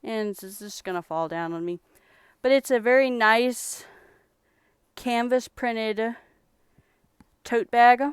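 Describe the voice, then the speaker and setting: A young woman from Texas talks in a relaxed, rambling way while showing off her thrift finds. Her voice is strikingly clear and smooth. It is recorded close up, with a dry sound. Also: a little high, slow, long pauses, no room sound. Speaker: streamer reselling thrift finds. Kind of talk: casual live-stream chat.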